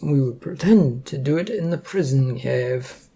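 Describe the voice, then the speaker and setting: An arrogant villainous male superhero from an audiobook adventure, acted out by a narrator. He is menacing and contemptuous, with a gravelly, husky snarl. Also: medium pitch, animated, unhurried, mellow. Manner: threatening, contemptuous.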